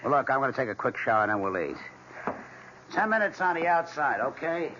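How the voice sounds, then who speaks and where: An actor playing a brash, impatient husband, blunt, scornful and domineering, in an old-time radio drama. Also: male voice, medium pitch, very animated, a little fast, close to an everyday voice. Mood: offhand. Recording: muffled, hissy vintage radio recording.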